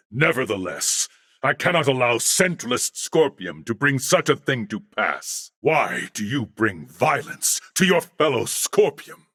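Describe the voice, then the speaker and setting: A man acting as an armored cyborg in a video game, courteous and earnest, with formal, measured, solemn diction. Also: medium pitch, pushed and bright, dry recording, clean studio sound, exaggerated voice. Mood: alarmed, indignant.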